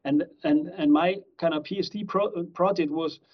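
A man's voice: fairly high pitch, steady tone, moderate pace. A middle-aged man from Iceland, a professor being interviewed, who rambles with many fillers and restarts. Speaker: guest criminology professor. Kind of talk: conversational interview answers. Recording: slightly dull lo-fi audio.

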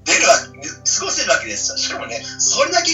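A man co-hosting a casual radio talk show, eagerly pitching ideas with rhetorical questions. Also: huge pitch swings, fast, sharp tone. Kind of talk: casual chat. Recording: roomy, distant, dull sound with faint music.

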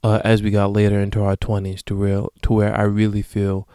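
A man in his late twenties hosting a solo podcast, talking casually and profanely with sudden loud bursts. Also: lowish voice, monotone, fairly quick, clean tone, no room sound, clean studio sound. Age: late 20s. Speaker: podcast host.

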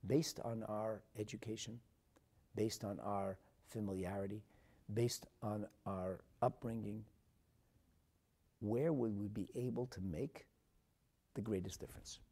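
A man with a North American accent giving a religious sermon as a rabbi, emphatic and dramatic, phrasing deliberately and repeating for effect. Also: lowish voice, steady tone, breathy edge. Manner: emphatic and dramatic, swinging from hushed asides to loud declarations; deliberate phrasing with rhetorical repetition. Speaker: rabbi and Torah teacher.

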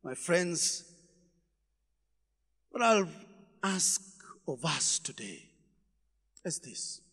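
A man preaching a sermon in a church, shouting emphatic lines and then dropping his voice low, repeating rhetorical questions to the congregation. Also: big pitch swings, very slow, gravelly, pauses often, breathy edge, big-room sound. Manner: repetitive call-and-response questioning.

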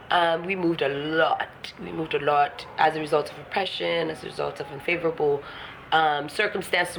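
A woman's voice: low-pitched, a bit bright, slight background noise, poor audio. A woman from Ghana talking frankly and reflectively in an interview, laughing often and swearing casually. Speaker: guest artist.

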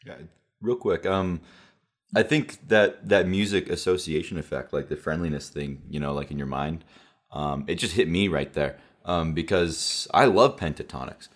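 A young man with a low voice, co-hosting a podcast, chatting excitedly with lots of fillers. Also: normal speed. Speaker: podcast co-host. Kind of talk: casual podcast chat.

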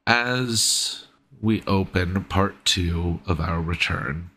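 A man's voice: low-pitched, extremely slow, sharp tone, a little hiss or hum. A man hosting as game master, sick and congested, partly losing his voice, with a breezy, irreverent delivery. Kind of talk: recapping a tabletop game podcast.

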